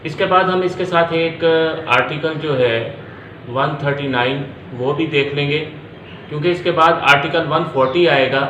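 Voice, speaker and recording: Pakistani guy teaching law, medium pitch, goes over every point again, roomy echo, bit of background noise. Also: a bit bright. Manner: repetitive, step-by-step explaining, rephrasing each point. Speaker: law lecturer. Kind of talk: explanatory lecture mixing in English legal terms. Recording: echoey room, faint background noise.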